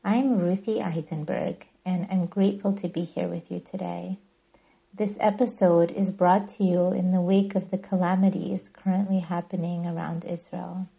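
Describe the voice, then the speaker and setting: A woman guiding a meditation as a podcast host, speaking gently and deliberately in a soothing, breathy voice. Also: on the low side, fairly even intonation, dull audio. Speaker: yoga nidra guide and podcast host. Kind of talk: guided meditation narration.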